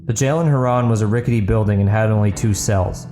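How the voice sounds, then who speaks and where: Mellow male storyteller over guitar music. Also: on the low side, fast talker. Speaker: author narrating his audio book podcast. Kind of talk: scripted storytelling narration.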